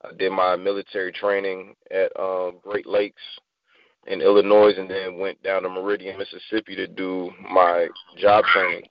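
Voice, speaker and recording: A man's voice: sits low, completely flat delivery, normal speed, no room sound, muffled recording. A man in his thirties from Virginia, chatting casually as a guest poet and often repeating himself. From Virginia, United States. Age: 30s. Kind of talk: casual interview chat.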